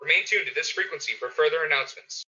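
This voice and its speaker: A man's voice: on the high side, fast talker, bright, forceful tone. A man playing a clipped, official civil defense announcer in a radio drama, repeating an emergency broadcast. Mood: urgent, official. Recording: dull, band-limited recording.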